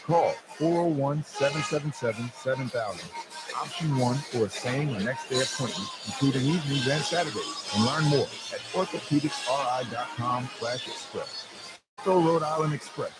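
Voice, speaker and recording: A man's voice: medium pitch, moderate pace, low-quality recording. A man reading a scripted radio advertisement as a spokesman. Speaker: commercial spokesman. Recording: voice over loud music bed. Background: loud music.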